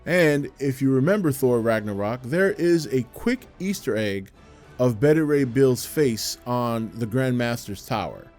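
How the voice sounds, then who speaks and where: A man's voice: mid-range voice, expressive, a little slow, darkish tone. A man hosting a video with scripted narration. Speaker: video host. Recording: music behind the voice. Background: music.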